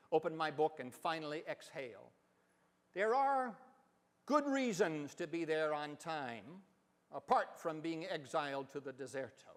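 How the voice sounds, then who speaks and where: High-voiced man reading in a reverberant hall. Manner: deliberate, dramatic phrasing with emphatic swells. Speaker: professor giving a dramatic reading. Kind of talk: expressive literary stage reading.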